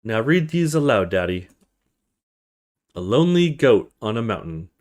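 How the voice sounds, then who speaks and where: A man's voice: lowish voice, sing-song, unhurried, darkish tone. A man reading the part of an eerie, commanding undead young boy in a horror visual novel, speaking in ominous requests.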